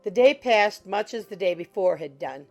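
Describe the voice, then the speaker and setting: A woman reading literary narration as an audiobook narrator, measured and formal. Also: medium pitch, expressive, soft background music.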